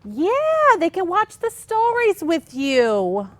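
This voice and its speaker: Actress as a high-voiced, playful best friend. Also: female voice, big pitch swings, takes it slowly, a bit bright, heavily acted. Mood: playful, coaxing. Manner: persuasive, playful. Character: pregnant, teasing, encouraging best friend, 1960s housewife. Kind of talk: staged reading of a stage comedy.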